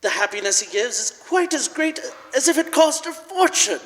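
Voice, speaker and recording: A man playing an agitated, wistful old miser full of remorse in a live radio drama, earnest, halting and emotional. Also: very high voice, very animated, fairly bright tone, exaggerated voice. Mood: earnest regret. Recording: live in reverberant church hall.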